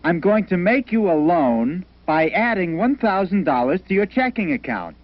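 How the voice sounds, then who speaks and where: An earnest cartoon banker, musing aloud in a businesslike way. His voice sits fairly high and swings up and down with lively inflection. It has the dull sound of an old educational film soundtrack. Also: moderate pace, no echo. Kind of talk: 1970s educational cartoon acting.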